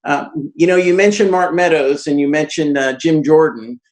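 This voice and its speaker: A man running for office, answering forcefully in a political debate after a few hesitant starts. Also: a little high, normal speed. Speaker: political candidate.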